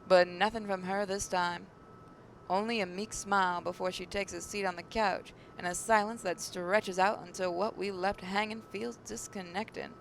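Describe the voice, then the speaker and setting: A woman's voice: mid-range voice, creaky, slight background noise, poor audio. A woman gaming host reading visual novel narration aloud, with occasional stumbles.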